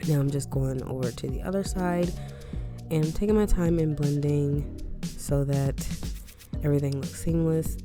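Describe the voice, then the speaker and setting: A woman with a deep, low voice talks through a makeup tutorial in a loose, rambling way. She sounds congested and a little scratchy from a lingering cold. Music plays clearly under her voice. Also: moderate pace. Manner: chatty, rambling asides, frequent "um" and "like". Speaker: beauty vlogger. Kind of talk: casual makeup tutorial chat.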